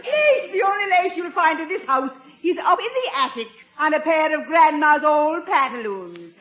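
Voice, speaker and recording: An actress playing a blunt, defiant, wisecracking American housekeeper in a 1950s radio comedy. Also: female voice, high-pitched, very animated, moderate pace. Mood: scornful. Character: feisty, blunt long-serving American housekeeper. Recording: muffled, echoey old radio recording.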